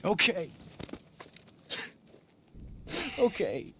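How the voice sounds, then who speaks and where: High-pitched, earnest young American man. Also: sing-song, a little slow, gravelly, sharp tone, a little hiss or hum, full character voice. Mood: dejected. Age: young adult. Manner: earnest, plaintive. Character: naive, fragile, earnest young runaway man. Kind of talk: video game voice acting.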